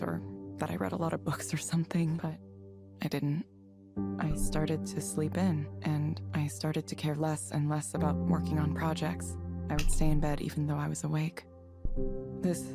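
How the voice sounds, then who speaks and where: An actress playing a withdrawn, disillusioned woman in her thirties in a visual novel game, speaking in a subdued, halting, introspective way. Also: low-pitched. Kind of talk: visual novel voice acting, reflective monologue. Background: music.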